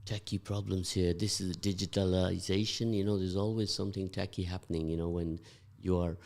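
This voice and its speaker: Low-voiced male radio host, rambling. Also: little pitch movement, darkish tone. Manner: rambling, halting with many fillers. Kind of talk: casual radio chat.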